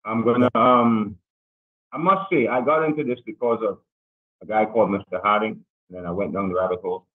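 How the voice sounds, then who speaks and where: A man's voice: on the low side, fairly even intonation, brisk. A man taking part in an online class, explaining casually over a thin, muffled video call line.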